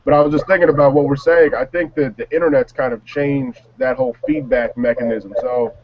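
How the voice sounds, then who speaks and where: A man in a casual panel discussion, talking in a measured, reflective way. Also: mid-range voice, fairly even intonation, no room sound, muffled recording. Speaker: panelist on a music talk show.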